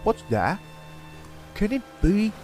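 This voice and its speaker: Male actor: earnest young villager, lively pitch, light music beneath. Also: slow, full character voice. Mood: wondering. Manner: earnest, wondering. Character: watchful young villager, kindly. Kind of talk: old-time radio drama acting.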